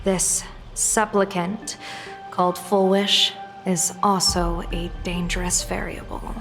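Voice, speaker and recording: A composed, shrewd general who weighs every word. She speaks very slowly at a middle pitch, in a long reverberant space. Game music sits underneath. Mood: wary. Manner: calm, deliberate, measured. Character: calm, measured woman general, shrewd and composed. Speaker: game character.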